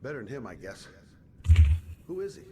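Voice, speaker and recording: An actor playing a gruff, world-weary private detective in a video game drama. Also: male voice, medium pitch, big pitch swings, moderate pace, very noisy, natural voice. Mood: weary, guarded. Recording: loud music over distant game audio.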